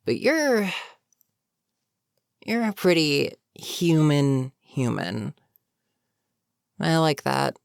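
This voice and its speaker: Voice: mid-range voice, very animated, very slow, vocal fry, pauses often, smooth, no room sound, clean studio sound, exaggerated voice. A performer voicing a weary goblin captain in a roleplay audio, chatting casually and sardonically with a captive, amused yet businesslike. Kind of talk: immersive character roleplay monologue, conversational.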